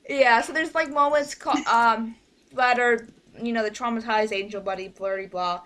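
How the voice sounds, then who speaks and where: A young woman with a fairly high, very bright voice hosts an informal online chat. She rambles in a giggly way and often cuts herself off to start again. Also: steady tone, normal speed. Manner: rambling, giggly, self-interrupting. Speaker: host of an online fan project meeting. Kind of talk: casual group call chat. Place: online group voice call.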